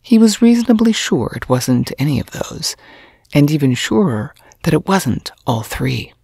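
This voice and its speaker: A woman narrating fiction as an audiobook, with a breathy, husky voice and a sultry, scripted delivery. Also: deep voice, very animated, a little slow, dark tone, dry recording, clean studio sound.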